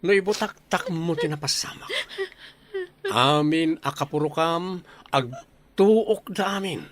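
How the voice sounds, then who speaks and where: Slow, menacing man, played by an actor. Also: a little high, a crowd in the background. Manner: slow, threatening, muttering. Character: menacing male predator threatening a young woman. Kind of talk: radio drama acting in Ilocano. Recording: music under the voice. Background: music.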